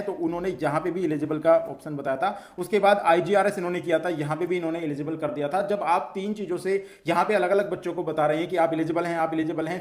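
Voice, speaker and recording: A man explains an update to students as a channel host, repeating his points as he goes. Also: a little high. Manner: repetitive, question-and-answer explaining.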